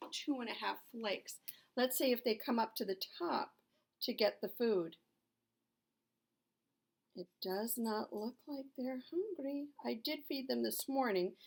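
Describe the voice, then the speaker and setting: A woman's voice: on the high side, creaky. A middle-aged woman teacher giving a lesson to young children, asking questions and answering them in simple, explanatory phrases. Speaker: elementary school teacher.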